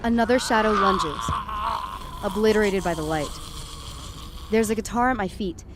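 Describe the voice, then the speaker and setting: A woman's voice: medium pitch, normal speed, very noisy, close to an everyday voice. A woman playing a frightened, tripping young woman who narrates a supernatural night in the first person, tense and dramatic, in an audio horror drama. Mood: eerie unease.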